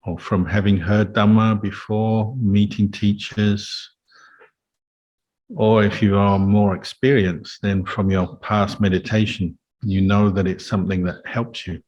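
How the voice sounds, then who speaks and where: A man speaking as a meditation teacher, giving calm, measured guidance in a Buddhist talk. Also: fairly low pitch, highs cut off. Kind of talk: guided meditation and Dhamma talk.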